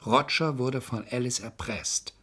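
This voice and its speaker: An actor playing a cynical, sharp-tongued defence lawyer in a radio crime play, arguing in a sardonic, matter-of-fact way. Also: male voice, mid-range voice, unhurried, breathy edge. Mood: sardonic, insistent.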